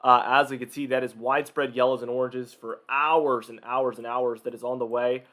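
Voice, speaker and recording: A man hosting an informal weather briefing, emphatic in his phrasing, his voice slightly nasal or hoarse from a cold. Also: mid-range voice, level tone, normal speed, a bit bright. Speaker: weather forecaster and video host.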